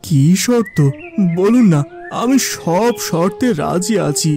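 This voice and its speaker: A man's voice: high voice, animated, slow, a little mellow, heavily acted. A man voicing a poor, anxious old father in a cartoon folk tale, humble and beseeching. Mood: pleading. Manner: deferential, beseeching. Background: music.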